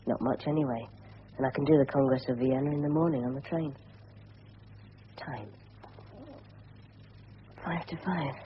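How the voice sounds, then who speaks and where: An actor playing an earnest, excitable teenage schoolboy in a radio drama, talking in clipped phrases. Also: male voice, mid-range voice, natural voice. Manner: clipped, thinking aloud. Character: earnest English schoolboy in his teens, excitable, curious. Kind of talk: 1970s radio drama acting. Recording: dull, noisy old radio broadcast.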